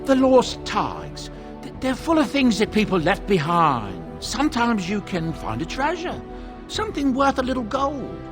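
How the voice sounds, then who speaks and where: Chatty, animated dwarven merchant. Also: male voice, high-pitched, moderate pace, over music. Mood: defensive. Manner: chatty, theatrical, self-justifying storyteller. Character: folksy, genial dwarven merchant, protective adoptive father. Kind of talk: video game character dialogue, storytelling.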